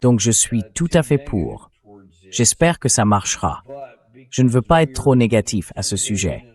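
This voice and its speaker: A man's voice: medium pitch, very animated, moderate pace, darkish tone. A man hosting a political interview show, speaking as a translated synthetic voice-over.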